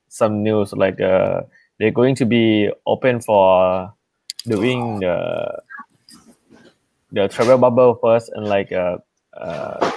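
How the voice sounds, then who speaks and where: A man's voice: fairly low pitch, a little mellow, dry recording. A man appearing as a guest in a casual chat, speaking hesitantly with many fillers. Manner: hesitant, many uhs, short agreements. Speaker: restaurant guest.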